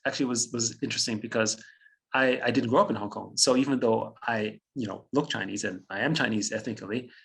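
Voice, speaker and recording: A man in his fifties who grew up in Austria, German his first language, speaks as an interview guest. His voice has a gravelly, fry-tinged edge at a middling pitch. He reflects out loud, chuckles now and then and often restarts his phrases. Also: fast talker, poor audio. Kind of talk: conversational interview storytelling.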